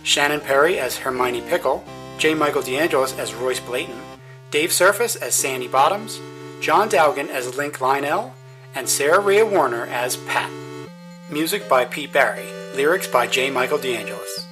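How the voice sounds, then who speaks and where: A male announcer reads scripted credits with plucked guitar music clearly behind him. His pitch is mid-range and his delivery is formal with a playful, arch edge. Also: lively intonation, moderate pace, low-quality recording. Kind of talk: scripted credits narration with tongue-in-cheek patron pitch.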